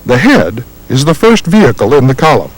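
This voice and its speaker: An American man reads scripted instructional narration for a military training film in a measured, formal manner. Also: mid-range voice, sing-song, poor audio.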